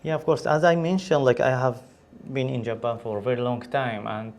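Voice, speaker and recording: Syrian male doctor, English, halting casual interview answers. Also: medium pitch, fairly even intonation, a little mellow. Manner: hesitant, many restarts and fillers. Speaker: guest, physician.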